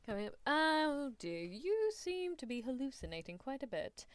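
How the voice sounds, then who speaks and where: A woman's voice: sits high, big pitch swings, normal speed, clean tone, no echo. A woman playing a playful, bossy British female scientist in an ASMR roleplay, teasing and coaxing with clinical asides. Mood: bright, absorbed. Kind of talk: ASMR roleplay livestream in character.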